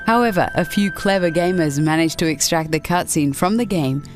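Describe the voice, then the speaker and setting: A woman with a low voice delivers scripted narration as the presenter of a video game list show. Music plays clearly behind her. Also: normal speed.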